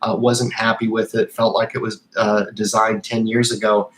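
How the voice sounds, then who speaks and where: A man in his thirties hosting a casual livestream, reading chat questions and answering in an animated, rambling way with many fillers and restarts. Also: on the low side, moderate pace, slight background noise.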